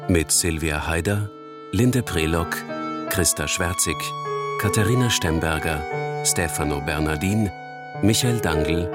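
A male radio announcer reading the credits of a radio play in a measured, formal way. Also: sits low. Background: loud piano music.

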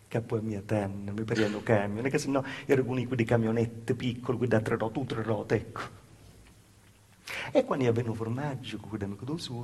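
A male stage actor with a Calabrian accent playing a plaintive, naive southern peasant woman recalling a hard childhood in an intimate, halting, repetitive dialect monologue. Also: mid-range voice, a little mellow, breathy edge. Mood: wistful wonder.

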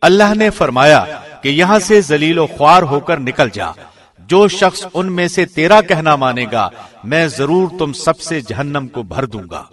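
A man reading a scripture translation from a script in a measured, formal, carefully phrased way, like a professional radio presenter. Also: fairly high pitch, lively intonation, a bit bright.

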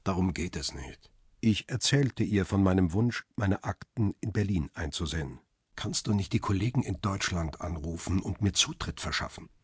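An actor playing a wry, stubborn photographer who narrates and speaks in a crime radio drama, with a dry, confrontational manner and a husky, rough voice. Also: male voice, deep voice, lively intonation, normal speed, no room sound, full character voice. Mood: guarded.

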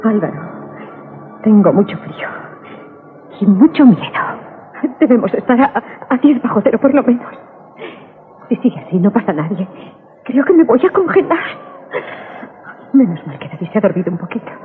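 A woman's voice: mid-range voice, very animated, moderate pace, long pauses, slight background noise, natural voice. An actress playing an anxious, fretful wife and mother in a radio drama, with an accent from Spain. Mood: fearful. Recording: narrow-band old radio recording.

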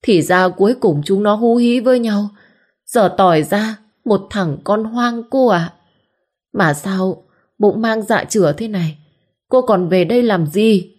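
A woman voicing a jealous village wife who scolds loudly and plays to the crowd. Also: a little slow, close to an everyday voice. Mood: wailing. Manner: shrill, scolding, playing to the crowd.

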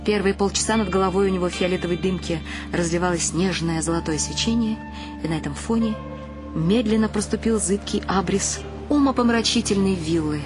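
A woman's voice: medium pitch, fairly quick, lightly acted. A woman acting and narrating in a radio play as a whimsical, wry middle-aged wife and mother. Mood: wry storytelling. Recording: studio radio drama with music behind.

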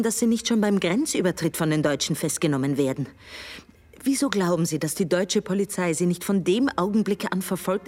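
An actress playing a determined police chief in a radio drama, narrating in first person with a brisk, probing manner. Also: female voice, mid-range voice, darkish tone, natural voice. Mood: scolding. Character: determined female police chief, sharp and commanding.

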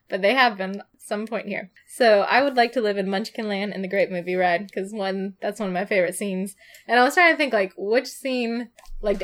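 A woman co-hosting a podcast, chatting casually and cheerfully with frequent laughter. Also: medium pitch. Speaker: podcast co-host. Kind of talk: casual chat.

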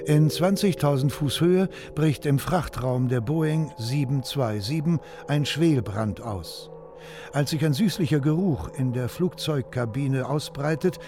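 A man's voice: a little high, mellow. A man narrating a scripted documentary radio drama as a narrator.

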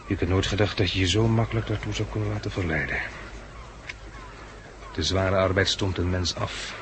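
A man's voice: low-pitched, steady tone, normal speed, lightly acted. An actor playing a reflective, world-weary male catastrophe survivor in a 1960s Dutch radio drama, articulate and wry, with a Netherlandic accent. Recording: noisy old 1960s radio recording.

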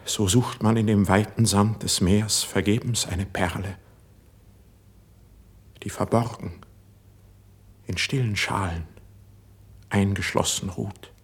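An actor playing an impassioned, melancholy court poet, declaiming lofty verse in a measured way in a classic German radio play. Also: male voice, on the low side, steady tone, slightly rough, exaggerated voice. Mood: rapt devotion.

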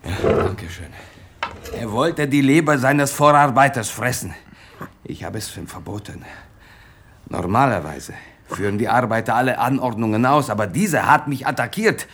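Male security guard, husky, shaken, terse, clipped phrases, radio drama. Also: medium pitch, expressive, normal speed, a bit bright. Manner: clipped, fragmentary sentences. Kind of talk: radio play acting.

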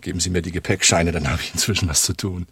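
An actor playing a shrewd, courteous underworld fixer in a radio drama, with a businesslike manner. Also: male voice, sits low, steady tone, quick pace, fairly bright tone, breathy edge, dry recording, full character voice. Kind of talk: German radio drama dialogue.

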